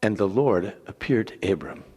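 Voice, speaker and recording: A man narrating scripture as a dramatic reading, with deliberate pauses and theatrical emphasis. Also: on the low side, expressive, darkish tone, husky. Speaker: scripture reader.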